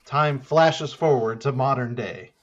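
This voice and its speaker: Mid-pitched male game director narrating camera shots off the cuff, slightly lo-fi sound. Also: measured pace. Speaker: scene-setting director in tabletop game. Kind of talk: improvised film-scene narration and banter.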